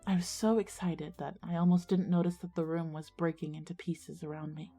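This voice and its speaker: A woman acting as an earnest schoolgirl visionary, narrating her own ghost story in a measured way for an audio drama. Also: fairly low pitch, steady tone, mellow, faint music behind, natural voice. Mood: solemn, hushed. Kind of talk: scripted first-person dramatic narration.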